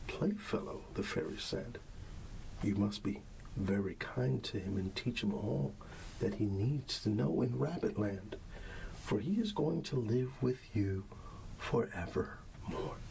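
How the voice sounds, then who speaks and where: Male, low and creaky, very unhurried, gentle fatherly bedtime ASMR delivery that drifts toward whispering. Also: a little hiss or hum, rough recording. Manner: gentle, soothing, often trailing into whispers. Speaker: ASMR roleplayer playing a father reading a bedtime story. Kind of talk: soft ASMR bedtime storybook reading, talking to a child.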